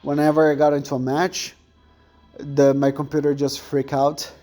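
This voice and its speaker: A man's voice: fairly high pitch, monotone, normal speed, dark tone, soft background music. Thin-voiced young man rambling over gameplay. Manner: rambling, self-correcting, chatty. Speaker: gameplay commentator. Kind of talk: casual gameplay chat.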